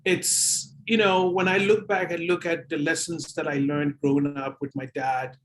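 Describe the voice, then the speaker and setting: A man chatting casually and reflectively as a guest, halting and pausing mid-phrase. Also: fairly high pitch, fairly even intonation, a little hiss or hum, low-quality recording. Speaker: guest author.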